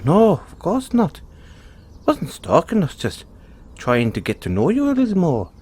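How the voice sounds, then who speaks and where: A man's voice: high voice, a little mellow, husky, heavily acted. A man playing a cheerful, kindly leprechaun with a teasing, folksy manner, in an audio roleplay spoken to the listener. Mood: flustered, protesting. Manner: chuckling, teasing, folksy talk. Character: cheerful, kindly male leprechaun, chatty and sly.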